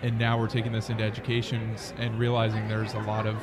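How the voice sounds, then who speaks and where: A man's voice: lowish voice, completely flat delivery, fast, over loud music. An American man speaking conversationally as a startup founder being interviewed. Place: busy conference venue near an expo hall. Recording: busy crowd chatter behind the voice.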